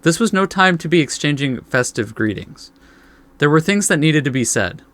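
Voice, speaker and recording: A man's voice: on the high side, animated, normal speed, fairly bright tone. A man hosting a casual let's play, reading story text aloud and reacting in an informal, laid-back way. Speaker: gaming video host.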